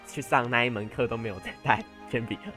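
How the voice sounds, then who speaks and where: Student podcast host: young man, Taiwanese Mandarin accent, mid-pitched voice, casual and playfully dramatic storytelling, light music underneath. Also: fast. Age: teens to young adult, high school senior.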